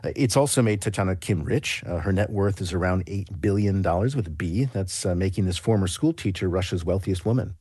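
Low, husky American male correspondent. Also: a little fast, hardly any pauses, warm tone, no echo, studio quality. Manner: conversational. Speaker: foreign correspondent. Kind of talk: explanatory radio news report.

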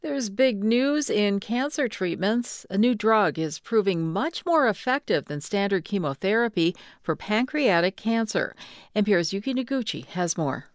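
A woman newscaster reads the headlines in a crisp, measured way. Her voice sits at an ordinary middle pitch. The studio sound is close and dry. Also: lively intonation, no room sound. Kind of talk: news bulletin reading. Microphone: studio mic.

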